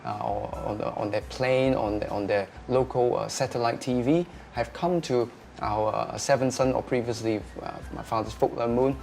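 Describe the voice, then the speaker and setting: A young man, answering interview questions as a restaurateur, pausing often with filler sounds. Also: mid-range voice, vocal fry, darkish tone, soft background music. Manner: hesitant, many filler sounds.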